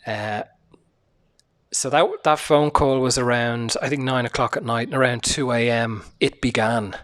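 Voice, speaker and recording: A sick man hosting a casual solo podcast, speaking quietly with a hoarse, tired voice, swearing often and using many fillers. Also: medium pitch, fairly even intonation, a little slow. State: sick, low energy, voice hoarse. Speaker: podcast host.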